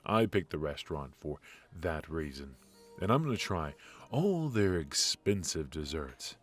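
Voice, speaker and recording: A man playing a cocky but affectionate secret agent in an intimate noir audio roleplay, with halting, teasing delivery. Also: a bass voice, very animated, soft background music, exaggerated voice. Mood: weary, teasing. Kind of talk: intimate ASMR roleplay voice acting.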